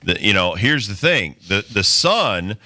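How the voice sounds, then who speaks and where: A man's voice: fairly low pitch, sing-song, a little slow, sharp tone, no room sound, clean studio sound. A man hosting a sports talk show, speaking casually with blunt, opinionated takes.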